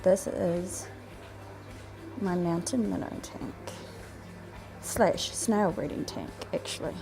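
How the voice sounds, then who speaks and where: Gravelly Kiwi woman chatting with music under. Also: on the low side, slow pace. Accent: New Zealand. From New Zealand. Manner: rambling, lots of ums and restarts. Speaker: fishkeeping vlogger. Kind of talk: casual chat while filming her fish room. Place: home fish room, indoors. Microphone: handheld camera.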